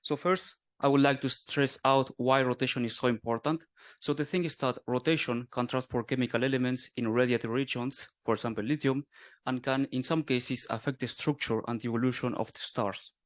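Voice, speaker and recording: A young man in his twenties from Argentina, presenting a scientific conference talk in a steady, explanatory way. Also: medium pitch, fairly quick. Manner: explanatory, steady, with small self-corrections. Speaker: conference speaker, doctoral student.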